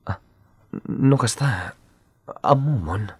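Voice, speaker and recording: Husky, bashful young Ilocano man. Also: mid-range voice, expressive, slow pace, a little mellow, dry recording, clean studio sound, full character voice. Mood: shy, nervous. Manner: bashful, hesitant. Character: Ryan, shy lovesick young male engineer. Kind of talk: Ilocano radio drama acting.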